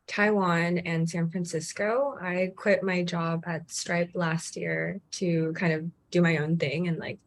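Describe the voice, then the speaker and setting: A woman speaking as a panelist on a video call, chatty and full of fillers. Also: fairly low pitch. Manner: chatty, uses many fillers. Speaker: panelist and community founder. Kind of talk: casual conversation.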